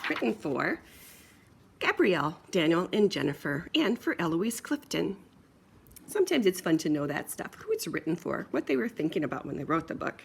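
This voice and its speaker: A woman's voice: fairly low pitch, very animated, moderate pace, vocal fry, breathy edge. A woman reading a children's picture book aloud in a playful, sing-song way, with chatty asides. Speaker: storyteller.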